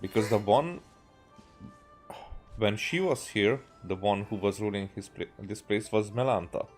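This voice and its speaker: Male game streamer, lowish voice, halting and rambling delivery, faint music behind. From Hungary. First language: Hungarian. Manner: halting, thinking aloud, repeats himself. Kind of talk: rambling gameplay commentary.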